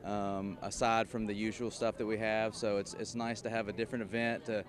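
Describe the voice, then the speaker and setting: Lower-voiced man in street interview. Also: level tone, fairly quick. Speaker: local interviewee. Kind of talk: unscripted news interview.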